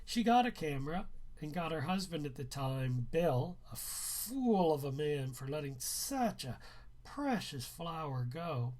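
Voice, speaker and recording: A man reading a first-person novel aloud as its author, with deliberate storytelling phrasing. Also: on the high side, sing-song, a little mellow.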